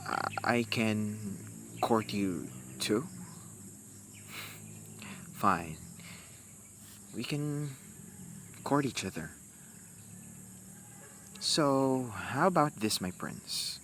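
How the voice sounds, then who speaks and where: Very slow, halting young warlock played by a man. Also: lowish voice, lively intonation, heavily acted. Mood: shy, softening. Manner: halting, soft-spoken, addressing the listener intimately. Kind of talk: amateur romantic roleplay audio drama. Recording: noisy, with insect-like outdoor ambience. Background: crickets and night forest ambience.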